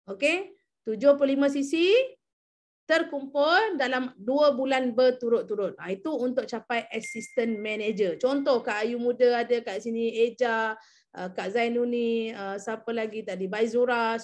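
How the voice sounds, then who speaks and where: High-voiced Malaysian woman coaching her team. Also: moderate pace, a little mellow, slight background noise. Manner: coaxing, repeats key numbers, asks team for replies. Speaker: MLM team leader presenting an incentive program. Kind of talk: online briefing to a sales team.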